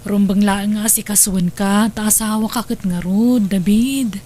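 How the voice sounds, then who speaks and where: A woman playing a caring, steady wife and forgiving stepmother in an audio drama. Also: medium pitch, normal speed, a little hiss or hum, close to an everyday voice. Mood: gentle, caring. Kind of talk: Ilocano audio drama acting.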